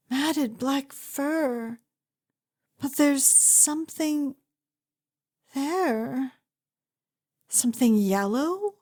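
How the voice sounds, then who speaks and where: A woman performs a fierce huntress carrying grief, in a dramatic fantasy ASMR roleplay. Her voice sits a little high. She draws her words out very slowly and deliberately, breaking them with halting, emotional pauses. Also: full character voice. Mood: wary, curious. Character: fierce grieving huntress interrogating a captured werewolf.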